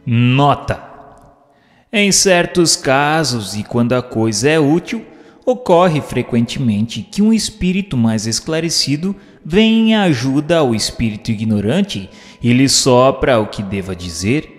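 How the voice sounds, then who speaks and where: A man plays an earnest, studious questioner in a radio drama, with a Brazilian accent. The mid-pitched voice moves in animated swings while staying formal and inquiring. Soft music runs underneath. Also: unhurried. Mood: explanatory. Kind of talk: scripted question reading, formal.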